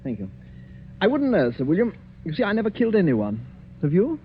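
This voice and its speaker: A man's voice: high voice, huge pitch swings, brisk. A sneering, greedy young nephew in an old radio drama, his voice swooping wildly as he taunts. The recording is dull and lo-fi, with a faint hiss behind it. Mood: flippant. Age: young adult. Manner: mocking, taunting, laughs. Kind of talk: 1940s radio acting.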